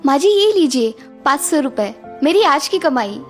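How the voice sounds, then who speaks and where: A woman acts a young cartoon wife with a high, sweet voice. She is gentle, dutiful and determined, speaking earnestly and dramatically. Music runs underneath. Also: big pitch swings, moderate pace. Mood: reassuring, happy. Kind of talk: Hindi moral-story cartoon dubbing.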